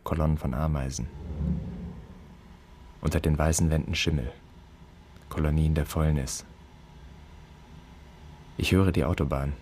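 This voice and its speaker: A man's voice: extremely low pitch, darkish tone, a little hiss or hum. An actor playing a bitter grown son who broods and declaims against his absent father in a literary radio drama. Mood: haunted, brooding. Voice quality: husky. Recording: long reverberant hall.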